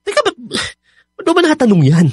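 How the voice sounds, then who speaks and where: An actor playing a young, evasive and defensive boyfriend in a Filipino radio drama. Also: male voice, extremely high pitch, huge pitch swings, fast, darkish tone, dry recording, studio quality, heavily acted. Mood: flustered, defensive. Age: young adult.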